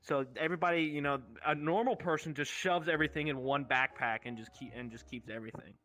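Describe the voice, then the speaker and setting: Bright-voiced young man swearing through a story. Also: a little high, quick pace. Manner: sweary, animated slangy storytelling. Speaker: show host telling stories. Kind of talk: casual rambling storytelling with friends.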